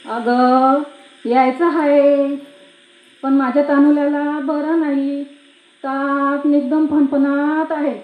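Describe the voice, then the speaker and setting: Woman acting high-voiced anxious young village milkmaid. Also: darkish tone, a little hiss or hum. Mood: worried. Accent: rural Maharashtrian. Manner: emphatic, pleading, repeats calls and words. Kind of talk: theatrical one-act play monologue. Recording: echoey room.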